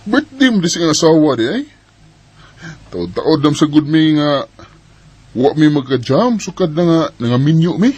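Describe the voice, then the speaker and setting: A man's voice: a little high, sing-song, measured pace, pauses often, a little mellow, slightly rough, no echo, exaggerated voice. A man acting an affectionate, easygoing young husband and father with a Bisaya Filipino accent in a radio drama. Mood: coaxing, affectionate.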